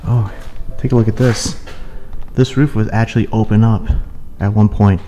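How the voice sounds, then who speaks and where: A young man hosting an exploring vlog, talking casually and excitedly to viewers on a handheld camera mic inside a large empty abandoned mansion. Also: on the low side, moderate pace, faint music behind. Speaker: urban explorer host.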